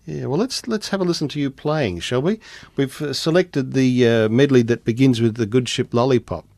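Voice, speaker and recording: A man's voice: medium pitch, moderate pace, gravelly, a little mellow, no echo. An Australian man hosting a conversational radio interview, prompting his guest attentively with short affirmations.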